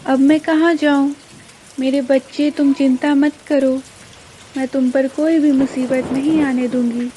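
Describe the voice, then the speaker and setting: A woman plays a worried little mother bird in a children's cartoon, very high in pitch and slow in delivery. She sounds plaintive and pleading, with noisy rain behind the voice. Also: level tone, warm tone. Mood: distressed. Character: anxious, pleading young mother bird, female. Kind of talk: dramatized Hindi children's cartoon story.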